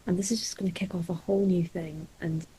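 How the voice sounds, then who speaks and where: A woman's voice: fairly low pitch, steady tone, fast, warm tone, husky, poor audio. A nineteen-year-old Scottish woman chatting casually as a podcast co-host. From Scotland. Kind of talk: casual chat.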